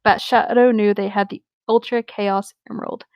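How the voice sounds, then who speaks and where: A woman's voice: medium pitch, fairly even intonation, normal speed, no echo, lo-fi sound. A woman reading a story aloud in a casual way, hesitantly breaking off for apologetic asides. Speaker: reader and commentator on a comedic fan fiction reading.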